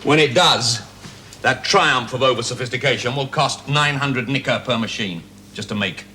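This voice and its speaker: A man's voice: mid-range voice, normal speed, pushed and bright, close to an everyday voice. A man acting in a 1970s television drama as a domineering, sardonic, middle-aged research director with a curt manner. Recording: noisy 1970s television soundtrack.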